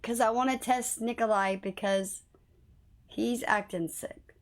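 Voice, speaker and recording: A woman's voice: a little high, fairly even intonation, measured pace. A woman livestreaming a game, chatting casually with viewers and swearing a little; her voice is slightly worn, as if she is losing it. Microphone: phone.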